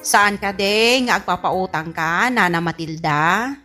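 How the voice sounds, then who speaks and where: A woman's voice: mid-range voice, slow pace, bright, forceful tone, faint music behind. A woman playing a stubborn, boastful, spendthrift married daughter with an Ilocano Filipino accent in a comedy radio drama, brash and defiant. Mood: wheedling.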